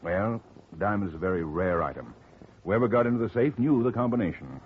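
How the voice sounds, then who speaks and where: Guy doing a slick middle-aged lawyer, deep but bouncy voice, tinny old radio. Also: lively intonation, a little fast, natural voice. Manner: genial, smooth, chatty on the phone. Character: smooth middle-aged male lawyer, secretly scheming. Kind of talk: 1940s radio acting. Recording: tinny faint-hiss old radio recording.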